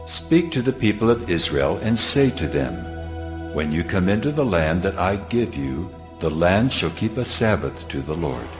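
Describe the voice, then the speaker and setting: An actor playing a solemn, commanding God who declares laws in a dramatized scripture audiobook, with music underneath. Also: male voice, low voice, big pitch swings, normal speed.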